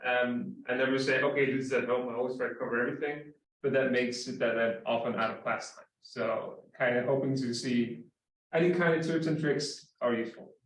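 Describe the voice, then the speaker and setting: A man's voice: medium pitch, steady tone, brisk. A man from Belgium, a business professor, introducing himself in an easygoing way at a workshop. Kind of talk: casual self-introduction. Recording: echoey room from far off.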